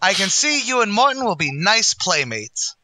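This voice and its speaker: A man's voice: high voice, very animated, moderate pace, sharp tone, slight background noise, exaggerated voice. An actor playing a brash, boastful male tavern manager, in a wisecracking 1940s radio comedy style. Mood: chatty.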